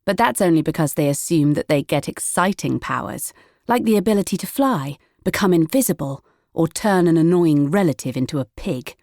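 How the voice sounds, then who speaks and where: A woman narrating a children's story in a wry, measured way. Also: deep voice, expressive, darkish tone, no room sound, studio quality. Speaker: audiobook narrator.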